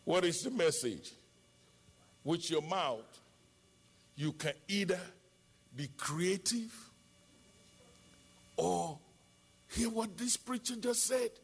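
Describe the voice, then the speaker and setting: A man's voice: sits high, expressive, takes it slowly, long pauses, husky. A middle-aged man with a Nigerian accent, a native Yoruba speaker, preaching an impassioned sermon as a pastor with emphatic repetition and rhetorical questions.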